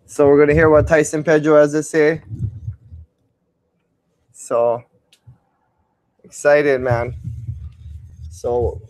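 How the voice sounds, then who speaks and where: A man's voice: a little high, fairly even intonation, takes it slowly, pauses often, darkish tone. A man co-hosting a sports broadcast with casual, exclamatory reactions, often repeating himself. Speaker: radio co-host.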